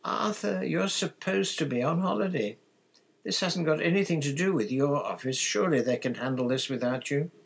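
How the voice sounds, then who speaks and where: Man putting on a high, fretful, anxious mother. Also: moderate pace, gravelly, breathy edge. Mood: upset, protesting. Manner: distracted, fretful, fussing. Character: anxious, tearful, fussing middle-aged mother.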